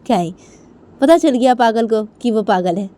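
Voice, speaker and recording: A woman's voice: a little high, normal speed, mellow, pitched above the performer's own voice, close to an everyday voice. Woman acting exasperated, repetitive dinosaur father. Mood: irritated, taunting. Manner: blustering, repeats himself insistently.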